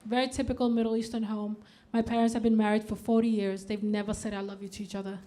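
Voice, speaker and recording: A woman in her thirties from Denmark, performing a stand-up comedy set on a club stage, deadpan with punchy outbursts. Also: mid-range voice, quick pace, background noise.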